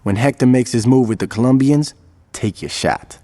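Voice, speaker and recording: An actor playing a commanding, wry street gang leader in a video game, speaking in authoritative casual slang. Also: male voice, mid-range voice, normal speed, dark tone, lightly acted. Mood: briefing.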